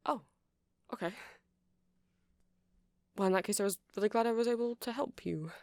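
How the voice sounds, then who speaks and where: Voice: mid-range voice, moderate pace, gravelly, no room sound, studio quality, natural voice. A performer of unclear sex playing a nerdy, eager, apologetic music-shop clerk in an ASMR roleplay, hesitant and rambling. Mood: shy, relieved. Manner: hesitant, rambling, self-deprecating, nervous little laughs.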